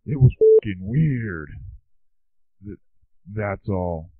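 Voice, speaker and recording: A laid-back man rambles through a story in a lazy stoner drawl, his pitch bouncing wildly whenever he acts out other people. He sounds dry and tinny, as though he is calling in over a phone line. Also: sing-song, very slow, no echo. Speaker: recurring guest.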